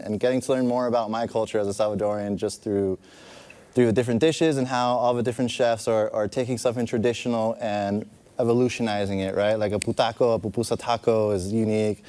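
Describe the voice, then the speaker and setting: A young man from the Bay Area talks as a panel guest, relaxed and enthusiastic with loose phrasing. His voice sits fairly low, with a dark, warm tone and a smooth, clean sound. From San Francisco Bay Area. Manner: easygoing, enthusiastic, loosely worded. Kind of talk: live panel conversation.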